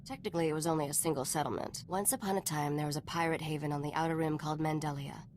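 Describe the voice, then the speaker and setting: A woman's voice: sits low, fairly even intonation, rapid-fire, a little mellow, slight background noise, natural voice. A woman voice-acting a cool, knowing smuggler informant in a video game, wry and composed as she tells stories.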